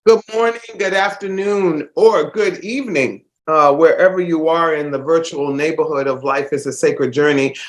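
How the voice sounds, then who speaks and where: A man's voice: a little high, normal speed, hardly any pauses, echoey room, poor audio. A man hosting a casual solo podcast while stuffed up with a cold, rambling with fillers and restarts. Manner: rambling, warm-hearted, many fillers and restarts. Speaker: host.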